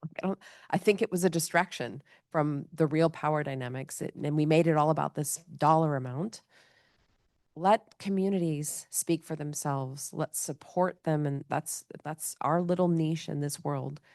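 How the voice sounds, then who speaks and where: A woman's voice: low voice, normal speed, darkish tone, dry recording, studio quality. A woman who leads a leadership program, speaking passionately on a discussion panel. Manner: passionate, speaks in quoted voices.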